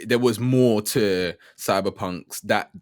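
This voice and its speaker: Low-voiced guy, dry sound, kinda hesitant podcast chat. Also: slow, darkish tone, no echo. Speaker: podcast co-host. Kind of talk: casual chat.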